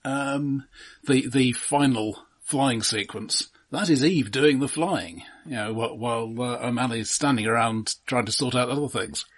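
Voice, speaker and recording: A man with a husky, slightly rough voice at an average male pitch. He discusses films casually as a podcast co-host, picking his words haltingly with dry wit. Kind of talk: casual film review chat.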